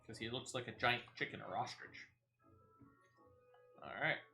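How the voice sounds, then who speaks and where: Bright-voiced man chatting while gaming. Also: mid-range voice, normal speed, creaky, soft background music. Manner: rambling, conversational, playful. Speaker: video game streamer. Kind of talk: casual gameplay commentary with chat.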